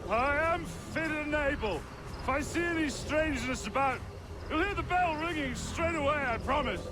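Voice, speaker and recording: A man's voice: very high-pitched, normal speed, background noise. An actor playing a hapless, servile lookout in a video game, apologetic and eager to please his superior. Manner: apologetic, deferential.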